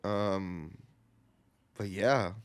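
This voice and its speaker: A man co-hosting a podcast, giving brief, low-key and hesitant casual replies. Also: extremely slow, smooth. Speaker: podcast co-host.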